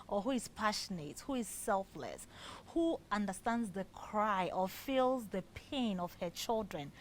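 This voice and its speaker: A woman with a Ghanaian English accent speaks as a guest on a studio talk show. Her voice sits at an ordinary pitch for a woman. She answers thoughtfully, defines her terms, and pauses with "um" as she builds her point. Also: a little hiss or hum. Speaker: guest, communications and development specialist.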